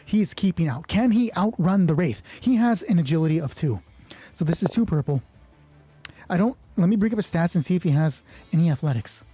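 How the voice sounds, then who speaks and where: A man's voice: on the high side, lively intonation, a little fast, mellow, husky, faint music behind. A man narrating an improvised solo tabletop game, thinking aloud casually and swearing freely. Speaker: solo roleplay player and narrator.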